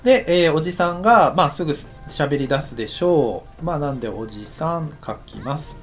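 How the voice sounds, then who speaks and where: A man giving a casual, explanatory tutorial as an anime director, thinking aloud in short halting phrases. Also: a little high, lively intonation, faint music behind. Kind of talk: instructional lesson narration, casual and explanatory.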